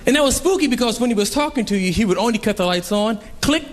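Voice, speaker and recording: A young man tells stories on a comedy club stage into a handheld mic, his voice sitting high and swinging widely as he acts out the people in his tales. Crowd laughter and room noise run clearly under the whole live recording. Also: fast. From Columbus, Ohio. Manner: animated storytelling, acting out characters. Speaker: stand-up comedian. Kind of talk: stand-up comedy set.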